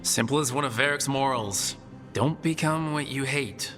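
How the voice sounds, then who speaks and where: An actor playing a wry, easygoing young hero in a fantasy video game, with a casual delivery. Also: male voice, medium pitch, normal speed, a bit bright, natural voice. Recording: long reverb, music behind.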